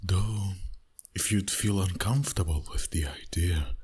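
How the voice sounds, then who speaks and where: Very deep, breathy vampire seducer acted by a man. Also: a little mellow, exaggerated voice. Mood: teasing, possessive. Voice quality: breathy, husky, often near a whisper. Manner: slow, teasing, flirtatious, dominant. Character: suave, dominant, flirty male vampire boss wooing his date. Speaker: voice actor. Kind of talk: intimate ASMR roleplay.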